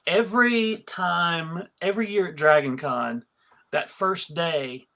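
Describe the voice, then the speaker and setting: A man's voice: fairly high pitch, slow pace, vocal fry, bright, forceful tone. A man from Alabama hosting a casual fan panel, chatting in an exclamatory, joking way. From Alabama, United States. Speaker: host of a fan convention panel. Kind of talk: casual animated panel chat.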